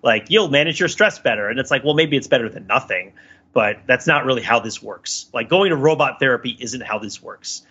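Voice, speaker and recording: A man speaking as a podcast panelist in casual, analytical discussion, animated and emphatic as he rambles through his points. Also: medium pitch, fairly quick, breathy edge, dull audio.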